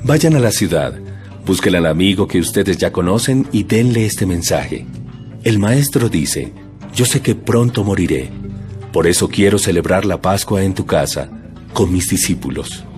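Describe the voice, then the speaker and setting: A man voicing a calm, solemn adult male teacher in an audio Bible drama, speaking slowly and gravely. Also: low-pitched, soft background music. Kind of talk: dramatized scripture reading.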